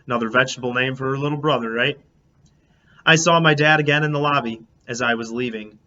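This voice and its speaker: A man reading a children's book aloud in an animated, expressive way, as a teacher would. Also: medium pitch, fast. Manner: expressive, acts out dialogue. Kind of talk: animated storybook read-aloud. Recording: dull lo-fi, clear background noise.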